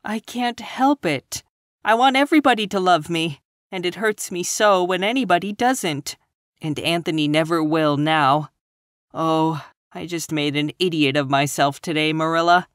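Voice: normal speed, a bit bright, no echo, studio quality, close to an everyday voice. A reader voicing Anne, a tearful, impulsive young woman teacher who talks in breathless, earnest bursts. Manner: breathless, sobbing, high and earnest.

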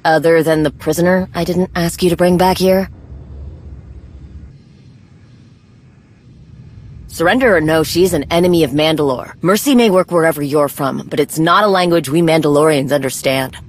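Blunt, low-voiced warrior woman. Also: brisk, few pauses. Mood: stern disapproval. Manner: blunt, clipped, dry. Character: brisk, no-nonsense Mandalorian warrior woman, dry and proud. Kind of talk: video game cutscene acting.

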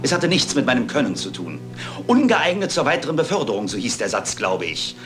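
Embittered retired commander played by a man: older villain, mid-pitched, highly animated, formal and self-important, music underneath. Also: brisk, lightly acted. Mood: bitter, indignant. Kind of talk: German detective radio play acting.

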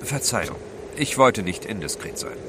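An actor playing a composed, inquisitive gentleman adventurer, polite but direct, in a radio drama. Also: on the low side, very animated, normal speed, noisy background. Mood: probing, polite.

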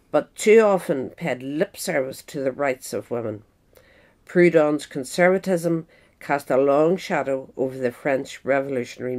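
A woman reads a scripted biographical essay aloud in measured, deliberate phrases. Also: low voice, darkish tone. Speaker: reader of an essay.

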